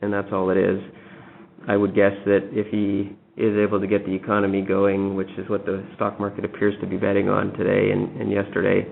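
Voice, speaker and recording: Flat, low-voiced man on noisy phone line. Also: brisk, vocal fry. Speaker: company executive. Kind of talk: answering investor questions.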